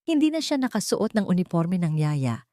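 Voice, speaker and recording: Lady narrator doing an emotional audio drama, lowish voice, really dry close sound. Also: big pitch swings, normal speed, warm tone, no echo. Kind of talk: dramatic scripted storytelling narration of a love story.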